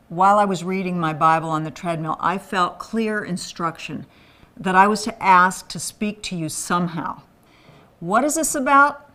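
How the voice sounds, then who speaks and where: A woman's voice: mid-range voice, a bit bright. A woman raised in Thailand, delivering an earnest scripted appeal for a men's ministry. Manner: earnest, pleading.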